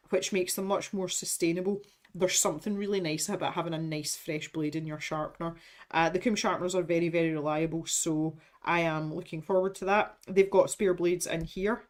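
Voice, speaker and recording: A woman in her thirties, chatting casually on a livestream, rambling and laughing as she reads out product blurbs. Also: fairly low pitch. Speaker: art supplies streamer. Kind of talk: casual unboxing livestream chat.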